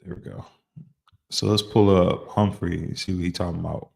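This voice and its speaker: A man's voice: deep voice, little pitch movement, normal speed, gravelly. A man hosting a casual reaction chat, laid-back and rambling, with lots of fillers. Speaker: host.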